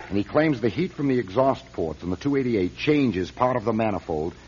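An actor playing a shrewd, guarded car company chief in a radio drama, speaking with authority and probing. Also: male voice, lowish voice, expressive, fairly quick, darkish tone, husky. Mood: grave urgent. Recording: dull, muffled radio drama recording.

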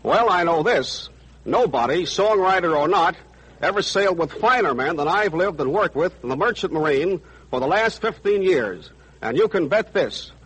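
Male seaman, scripted wartime radio guest, slightly high pitch, roughened tone. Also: lively intonation, normal speed, a bit bright, poor audio. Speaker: merchant marine chief steward. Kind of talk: scripted 1940s radio interview answers.

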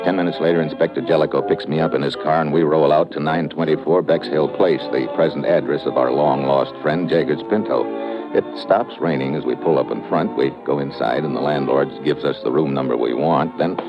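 A tough American special agent with a low, clipped, hard-boiled delivery. He sounds like a 1950s radio detective working a case, on a muffled old recording with music behind him. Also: a little fast. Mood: matter-of-fact.

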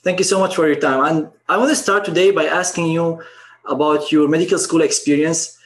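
A man hosting an interview channel, asking questions in a friendly, brisk way. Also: on the high side, fairly even intonation. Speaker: podcast host, interviewer. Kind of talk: scripted-sounding interview questions.

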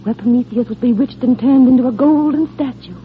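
An actress playing a gentle godmother holding back grief in an old radio drama, soft and halting. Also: on the high side, slight background noise, lightly acted. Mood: tender wonder. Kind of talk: 1940s radio drama acting. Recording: tinny vintage radio, music behind.

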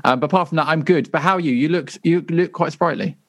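A tired man, hosting a casual podcast chat with quick asides and easy laughs. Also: a little high, no room sound. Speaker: podcast host.

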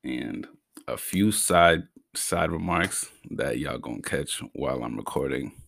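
A man's voice: extremely low pitch, animated, a little slow, gravelly, fairly bright tone, dry recording, studio quality. A young man from Massachusetts hosting his own podcast in a casual, slangy way, with an occasional stutter. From Brockton, Massachusetts. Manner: slangy, laid-back, stutters at times. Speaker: podcast host. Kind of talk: casual solo podcast talk.

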